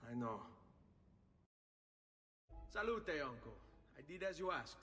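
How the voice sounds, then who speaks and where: A man's voice: a little high, big pitch swings, takes it slowly, sharp tone, breathy edge, heavily acted. An earnest young Italian nobleman. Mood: stubborn. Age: young adult. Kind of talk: video game cutscene acting.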